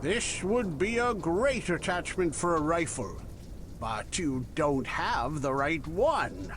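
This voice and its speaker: Slow, lilting male weapons merchant, acted by a man. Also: a little high, sing-song, noisy background. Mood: helpful, showy. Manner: unhurried, conversational salesman patter with dramatic pauses. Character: chatty, obliging male weapons merchant of the musket era. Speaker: game shopkeeper character. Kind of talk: video game merchant voice lines.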